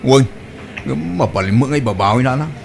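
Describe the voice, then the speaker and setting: A man with an Ilocano accent playing a stubborn, exasperated son in a comedy radio-style drama, animated and complaining. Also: mid-range voice, fairly quick, creaky, slight background noise. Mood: sulky, defensive.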